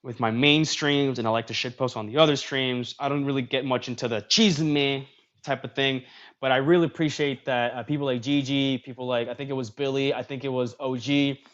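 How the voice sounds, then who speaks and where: A man's voice: medium pitch, fairly even intonation, moderate pace, a bit bright, lo-fi sound. A man hosting a livestream in a casual, talkative way, reading social media posts aloud and commenting on them. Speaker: streamer host.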